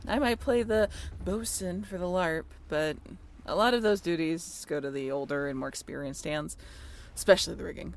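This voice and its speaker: A woman's voice: on the low side, normal speed, lightly acted. A woman playing a friendly, handy young sailing ship crew member in an intimate audio roleplay, talking in a casual, chatty way. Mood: relaxed, modest.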